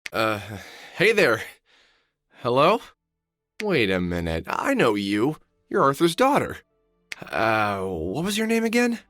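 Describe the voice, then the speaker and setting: A man's voice: medium pitch, huge pitch swings, slow, long pauses, fairly bright tone, no room sound, studio quality, heavily acted. A man voicing a wry, protective middle-aged adventure game hero who often mutters expressively to himself. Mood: startled, awkward. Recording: background music under the voice.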